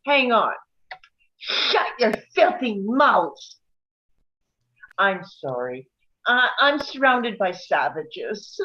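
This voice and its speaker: A woman's voice: medium pitch, sing-song, extremely slow, pauses often, sharp tone, lo-fi sound, heavily acted. A woman playing a brash, harried, crude suburban mother in a comedy script read, blunt and frazzled. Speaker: actor in an online script table read. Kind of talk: live comedy screenplay table read.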